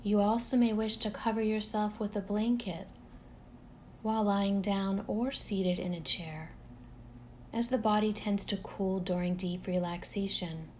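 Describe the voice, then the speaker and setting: Woman guiding meditation over background noise. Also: mid-range voice. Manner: calm, gentle, unhurried with long pauses. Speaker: meditation guide. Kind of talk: guided relaxation meditation narration.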